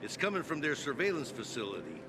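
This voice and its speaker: An actor playing a commanding resistance soldier in a video game, giving urgent, clipped orders. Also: male voice, medium pitch, big pitch swings, quick pace, background noise. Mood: briefing, matter-of-fact. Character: commanding male resistance soldier leading a squad and civilians. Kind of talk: dramatic video game acting.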